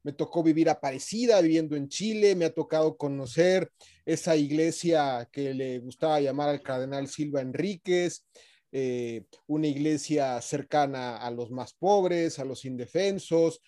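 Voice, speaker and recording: A man's voice: on the high side, dark tone. A man in his forties with a Mexican accent, a guest answering interview questions earnestly and fluently. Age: 40s.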